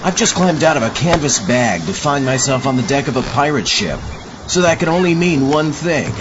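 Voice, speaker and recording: Animated zombie astronaut host, played by a man. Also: mid-range voice, normal speed, natural voice. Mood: cheerful. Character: eager, gullible male zombie astronaut show host. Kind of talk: comic scripted horror show hosting. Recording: dull lo-fi audio with clear noise.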